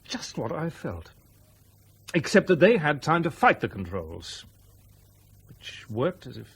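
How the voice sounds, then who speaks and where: An earnest airline captain in a radio drama. His voice is fairly high and swings widely as he argues stubbornly and intensely. There is light noise on the old recording. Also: wildly swooping pitch, measured pace, vocal fry, exaggerated voice. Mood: puzzled.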